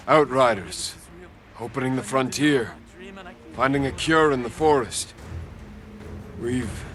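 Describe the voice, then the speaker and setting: A man's voice: medium pitch, lightly acted. An actor playing an earnest war-zone survivor, a man in awe, in a video game cutscene. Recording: music behind the voice.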